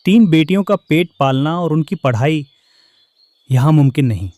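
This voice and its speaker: A man voicing a poor, weary, hardworking father bird in an animated story, earnest and downcast. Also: a little high, moderate pace, dry recording, natural voice. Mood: resigned. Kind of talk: animated moral story dialogue.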